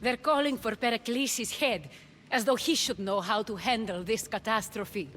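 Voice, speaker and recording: A woman's voice: on the high side, normal speed, fairly bright tone, a little hiss or hum, lightly acted. An actress voicing a weary, anxious Athenian noblewoman in a video game, sounding tense and worried. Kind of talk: video game cutscene acting.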